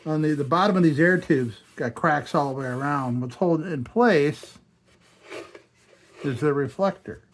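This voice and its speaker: Hoarse man chatting over a workbench. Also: a little high, moderate pace, a little hiss or hum. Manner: chatty, thinking aloud while working. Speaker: restoration channel host. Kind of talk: casual workshop narration. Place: indoor workshop bench.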